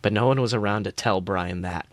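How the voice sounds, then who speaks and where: A man's voice: on the low side, brisk, no echo. Man narrating fan fiction, dry close sound. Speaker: podcast co-host. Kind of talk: reading fan fiction aloud.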